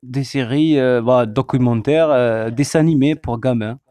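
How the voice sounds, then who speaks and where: A man's voice: mid-range voice, normal speed, dark tone, clean tone. A man co-hosting a casual chat, talking hesitantly with many fillers as he lists his points. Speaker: co-host.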